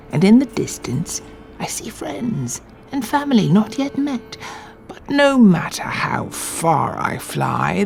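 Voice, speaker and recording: A woman voicing an old, world-weary captive cockatoo who muses slowly and poetically in a radio play. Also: low-pitched, vocal fry, heavily acted. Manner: slow, poetic, musing.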